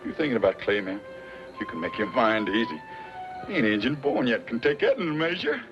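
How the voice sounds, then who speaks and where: Actor as lively folksy old frontier scout. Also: male voice, medium pitch, brisk, lightly acted. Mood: wry, reassuring. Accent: American. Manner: folksy, blunt frontier drawl. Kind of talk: old-time radio western acting. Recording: dull lo-fi radio drama, music behind.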